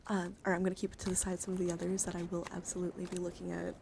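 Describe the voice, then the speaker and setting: A woman speaks softly and close to the mic, with plenty of vocal fry. She rambles informally in a casual ASMR livestream manner, her voice often thinning into a whisper. A faint noise sits behind her. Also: on the low side, completely flat delivery, racing. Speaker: livestreamer showing card decks.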